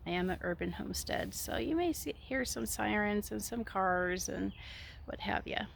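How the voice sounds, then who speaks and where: A woman with a failing, hoarse voice chatting casually as a gardening vlogger, outdoors in a backyard garden. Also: medium pitch, expressive. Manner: soft-spoken and unhurried, drifting into a whisper. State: losing her voice, hoarse. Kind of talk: casual chat. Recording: faint outdoor noise. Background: birds and chickens.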